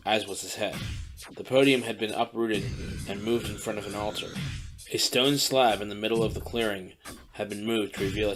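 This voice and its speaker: A man reading a dark audiobook story as narrator, in a steady descriptive manner. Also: lowish voice, low-quality recording. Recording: narration over loud music bed.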